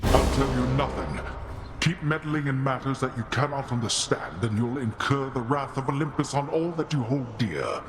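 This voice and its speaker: A man's voice: medium pitch, animated, normal speed, reverberant, background noise, close to an everyday voice. A man voicing a stern, contemptuous god-king father in a video game, speaking in an imperious, dismissive, commanding way. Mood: threatening, scornful.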